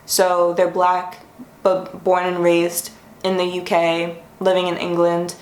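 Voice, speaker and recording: A woman's voice: lowish voice, monotone, takes it slowly, echoey room. A young woman hosting a casual explainer vlog from her room, friendly and chatty, sometimes stopping to correct herself. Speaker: YouTube host. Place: her room, sitting on the floor.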